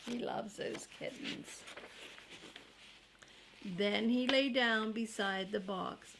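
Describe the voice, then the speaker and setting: An older woman reads a children's book in a grandmotherly way. Her pace is very unhurried and her voice has a noticeable creak. She speaks deliberately and adds chatty asides for little listeners. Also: medium pitch, noisy background. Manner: slow, deliberate, grandmotherly read-aloud for young children. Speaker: storybook reader. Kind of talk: reading a children's book aloud with casual asides.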